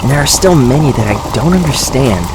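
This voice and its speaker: Casting: male actor as a reflective dragon living as a man, measured delivery, medium pitch, noisy ambient bed, fantasy audio drama. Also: natural voice. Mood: musing, candid. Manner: measured, thoughtful. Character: male dragon in human form, patient and reflective. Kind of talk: audio drama character acting. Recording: steady noise behind the voice.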